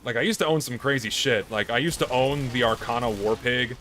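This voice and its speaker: A hoarse, tired young man chatting casually on a live stream, rambling and blunt with plenty of swearing. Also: medium pitch, fairly quick, a bit bright, slight background noise.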